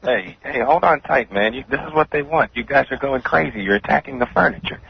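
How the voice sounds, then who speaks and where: A man with a raspy, gravelly voice talking casually on a radio show. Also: mid-range voice, lively intonation, brisk, no echo. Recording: dry, dull radio broadcast audio.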